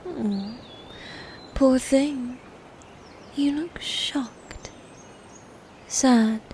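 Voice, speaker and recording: Sadistic female knight, played by a woman, dark fantasy ASMR. Very unhurried, softly dark tone, formal taunts, breathy whispers, slight background noise. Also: fairly high pitch. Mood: mock pity. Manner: slow, mocking, formal old-fashioned speech with breathy whispers.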